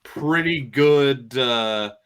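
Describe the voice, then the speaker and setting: Guy explaining stuff on a podcast, bright clear voice, stops and starts mid-sentence. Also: mid-range voice. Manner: halting, pauses mid-phrase. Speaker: podcast panelist. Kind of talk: casual explanatory discussion.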